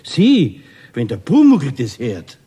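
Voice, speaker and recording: A man's voice: mid-range voice, wildly swooping pitch, takes it slowly, mellow, breathy edge, full character voice. An actor playing a jovial, teasing Bavarian friend of a craftsman in a radio play. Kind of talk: 1970s German radio play.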